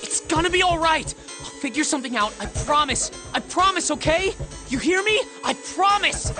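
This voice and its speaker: A woman's voice: high-pitched, lively intonation, a little fast, over music. A woman playing a desperate, fiercely protective young brother in a video game. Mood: desperate reassurance.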